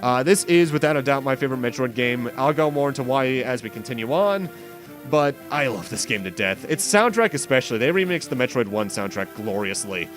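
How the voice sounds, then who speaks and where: Fast-talking man doing game commentary. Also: medium pitch, expressive, a bit bright. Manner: rambling, self-interrupting asides. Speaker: host of a game playthrough series. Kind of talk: video game playthrough commentary. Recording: game music behind the voice. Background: video game music.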